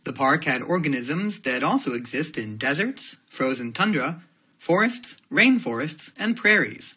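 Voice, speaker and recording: A man reading academic passages aloud in a careful, deliberate way. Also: fairly high pitch, big pitch swings, sharp tone, breathy edge. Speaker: reader of English test practice passages. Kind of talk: read-aloud of academic texts. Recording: dull lo-fi audio.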